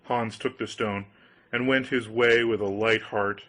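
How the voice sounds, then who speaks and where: A man's voice: lowish voice, fairly even intonation, a little slow, a bit bright. A man narrating a scripted fairy tale as an audiobook reader.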